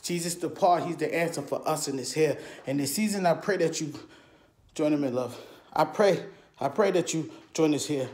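A man's voice: a little high, normal speed, a little mellow, slight background noise. A man preaching and praying in a rhythmic, chant-like flow of short repeated phrases. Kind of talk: rhythmic prayer and exhortation.

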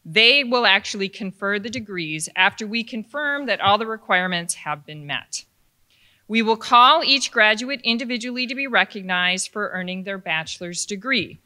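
A woman with a bright, clear voice presides over a graduation from a podium microphone in a large panelled hall. Her announcements are formal but friendly, with a light joke now and then. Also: medium pitch, expressive, moderate pace. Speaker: ceremony presenter. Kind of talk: commencement address at a podium.

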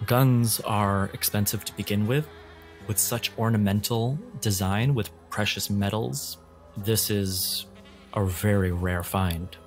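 A man's voice: lowish voice, slow, faint music behind. A man narrating a tabletop role-playing game as the game master.

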